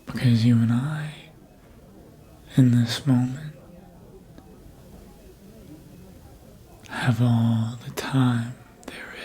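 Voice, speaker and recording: An actor playing a seductive, manipulative vampire in an ASMR roleplay, speaking in a breathy, often whispered voice with a deliberate, hypnotic manner, close to the mic. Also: mid-range voice, a little mellow. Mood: teasing, seductive. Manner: slow, deliberate, hypnotic, with long pauses. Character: seductive vampire, manipulative mind-controller, calm and menacing. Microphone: close ASMR microphone.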